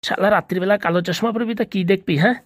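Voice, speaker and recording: A woman voicing an irritable, bossy male thief in a comedy cartoon, grumbling and scolding in rough slang. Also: mid-range voice, racing, dry recording, close to an everyday voice. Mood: exasperated.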